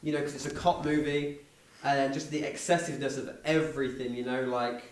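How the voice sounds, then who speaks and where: A man's voice: mid-range voice, steady tone, fairly quick. Male podcast co-host, enthusiastic casual talker, very echoey and off-mic. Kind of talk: casual chat. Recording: very echoey, distant mic, faint noise.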